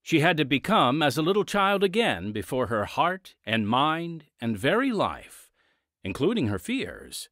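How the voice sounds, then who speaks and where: A man's voice: a little high, wildly swooping pitch, measured pace, a bit bright, dry recording, clean studio sound. A man announcing and narrating a radio drama in a solemn, theatrical scripted style. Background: organ music.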